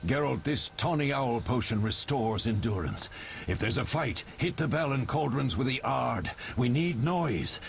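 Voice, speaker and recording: A man playing a stern old mentor of monster hunters in a video game, measured and commanding. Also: medium pitch, animated, creaky, faint music behind. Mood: resolute. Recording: game audio with faint background noise.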